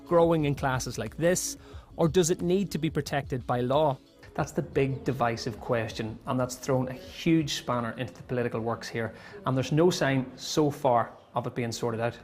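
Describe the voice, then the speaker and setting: A man with a Northern Irish accent narrating and interviewing for a television feature in a clear, measured reporter's delivery. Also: mid-range voice, soft background music. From Northern Ireland.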